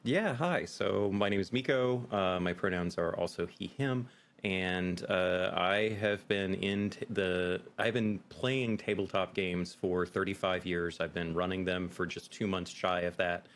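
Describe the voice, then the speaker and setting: A man's voice: sits low, unhurried. A middle-aged man with an American accent, talking casually as a guest in an interview. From United States. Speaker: podcast guest, game master.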